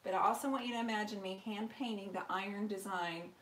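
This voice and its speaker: Brief: woman, 40s, mid-pitched, chatty and rambling, live-stream DIY host reading comments aloud, faint hiss behind. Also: fast talker, a bit bright, poor audio. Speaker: furniture painter hosting a live stream. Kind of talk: casual live-stream tutorial chat. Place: home workshop, indoors. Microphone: camera mic, moves toward and away from it.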